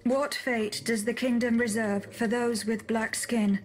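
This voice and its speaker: A woman playing a calm, inquisitive female automaton bodyguard, asking formal questions in a measured way for a game. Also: fairly high pitch, lightly acted, low-quality recording. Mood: measured, inquiring. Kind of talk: game cutscene voice acting.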